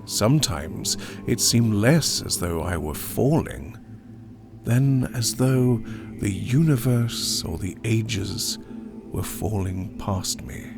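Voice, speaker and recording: A man performing a haunted survivor in a dramatic gothic horror audiobook, grave and theatrical, with hushed near-whispered phrases. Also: on the low side, animated, slow pace, creaky, full character voice. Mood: uneasy, intense. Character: haunted male survivor of an opium overdose, recounting horror. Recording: studio narration over music.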